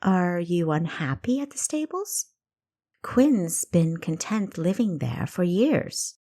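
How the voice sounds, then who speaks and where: A reserved elder sister who serves as a city guard in a fantasy audiobook, voiced by a woman narrator. She is practical and matter-of-fact, with a slightly low woman's voice. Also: animated, takes it slowly, dark tone. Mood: hesitant, firm.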